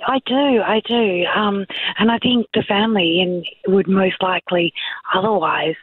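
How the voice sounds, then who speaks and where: Soft whispery aussie woman calling radio, breathy, tinny phone line. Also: medium pitch, fairly even intonation, no room sound. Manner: slow, earnest. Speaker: caller.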